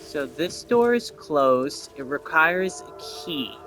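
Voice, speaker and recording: Bright-voiced man explaining a video game. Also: fairly high pitch, very animated, takes it slowly, faint music behind. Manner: explanatory, thinking aloud. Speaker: gameplay commentator. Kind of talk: casual explanatory gameplay commentary.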